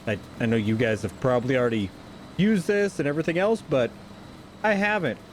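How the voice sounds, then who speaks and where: A man's voice: mid-range voice, fairly quick, slight background noise. A man with a stuffy nose, talking through his moves in casual, rambling gameplay commentary and often correcting himself. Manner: rambling, self-correcting, chatty. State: stuffy from a sinus infection. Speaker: gaming channel host.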